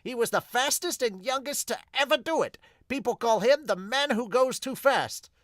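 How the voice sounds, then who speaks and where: Animated superhero-ceremony announcer, played by a man, pitched well up, presenting loudly, dry comic-dub recording. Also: moderate pace, no room sound. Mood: enthusiastic. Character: high-pitched, lively announcer at a hero ceremony. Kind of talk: announcing, amateur manga dub.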